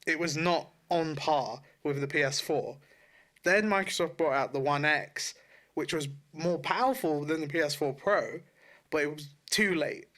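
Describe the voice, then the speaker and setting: A man with a fairly high, very bright and forceful voice chats as a podcast co-host. His delivery is blunt and slangy, with swearing mixed into casual talk. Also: unhurried. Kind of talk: casual podcast chat about video games.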